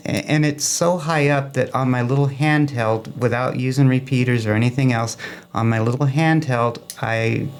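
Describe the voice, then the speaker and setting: A man's voice: mid-range voice, fairly even intonation, moderate pace, slight background noise. A man speaking as a club guest in a casual interview, rambling and enthusiastic as he explains and tells anecdotes. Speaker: amateur radio club guest.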